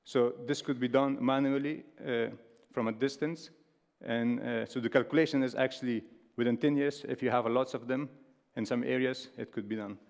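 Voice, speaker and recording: A man in his thirties from Afghanistan giving a stage talk, halting with many fillers and restarts. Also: mid-range voice, steady tone, gravelly, darkish tone. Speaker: speaker. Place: large stage hall with audience. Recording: long hall reverb.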